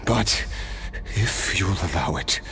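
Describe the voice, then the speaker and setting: An actor playing a weary, defeated immortal warrior in a video game, speaking in a measured, brooding, remorseful way. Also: male voice, deep voice, lively intonation, fairly bright tone, slight background noise, exaggerated voice. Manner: slow, measured, reflective. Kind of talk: dramatic video game voice acting. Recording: music behind the voice.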